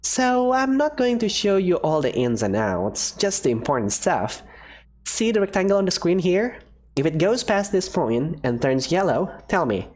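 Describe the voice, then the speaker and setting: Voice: medium pitch, big pitch swings, normal speed, darkish tone. A voice actor doing a bubbly, breezy woman professor. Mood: confident. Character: cheerful secretive woman professor, daring scientist. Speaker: character voice in a fan visual novel. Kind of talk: visual novel voice acting.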